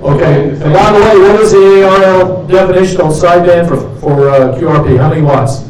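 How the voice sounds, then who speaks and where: A man's voice: sits high, brisk, a little mellow, some chatter behind. A man instructing a club group in informal teaching talk, putting questions to the listeners. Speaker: instructor leading a club training session. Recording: echoey room, distant mic, audience noise.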